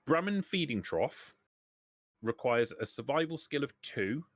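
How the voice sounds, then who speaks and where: A man's voice: medium pitch, very animated, a little slow, dry recording, clean studio sound. A man explaining rules conversationally as the game master of a tabletop roleplaying session.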